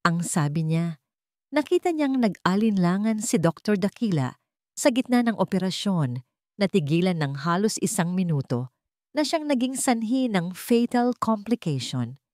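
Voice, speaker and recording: A woman with a Filipino accent reads a scripted dramatic story in a measured, deliberate way. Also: mid-range voice, big pitch swings, a little mellow, no echo, studio quality. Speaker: narrator.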